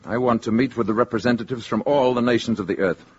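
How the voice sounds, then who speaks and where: An actor playing a calm, stern alien envoy in a 1950s radio drama, speaking formally and deliberately with a husky voice. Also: male voice, mid-range voice, lightly acted. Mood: stern, grave. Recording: dull old 1950s radio recording.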